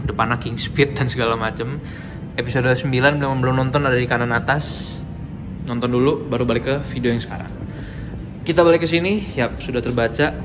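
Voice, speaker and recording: Casting: young adult male vlogger, mid-pitched voice, informal explanatory delivery over clear background music. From Indonesia. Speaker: motorcycle modification vlogger. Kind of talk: casual vlog chat and product showcase.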